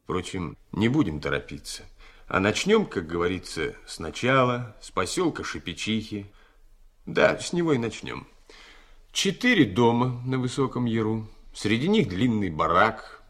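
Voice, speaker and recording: Low, husky, animated male narrator. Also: unhurried. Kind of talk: scripted radio drama narration.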